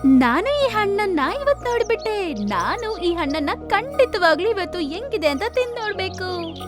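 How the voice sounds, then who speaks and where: Casting: a curious little cartoon animal, squeaky-high, highly animated, over music. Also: female voice, normal speed. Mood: amazed, delighted. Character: small, curious, excitable animal amazed by fruit. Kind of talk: cartoon story voice acting.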